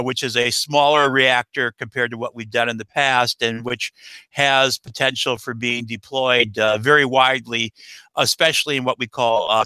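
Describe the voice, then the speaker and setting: A middle-aged American man, a guest expert answering interview questions in a halting way, full of uh and um fillers. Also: mid-range voice, bright, forceful tone, clear, resonant tone, no room sound, poor audio. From United States. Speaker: guest expert, nuclear engineer.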